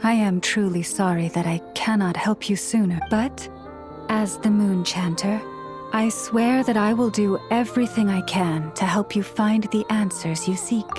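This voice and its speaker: Game role: actress as courteous moon priestess, soft-spoken, formal and measured, music underneath. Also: female voice, medium pitch, natural voice. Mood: earnest. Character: gentle, solemn, courteous priestess of a moon order. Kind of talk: video game voice acting.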